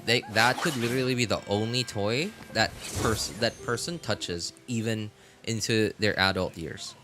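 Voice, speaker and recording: A man's voice: lowish voice, moderate pace, noisy background. A man chatting casually as a game streamer, rambling and thinking aloud to his viewers. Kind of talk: casual rambling livestream chat.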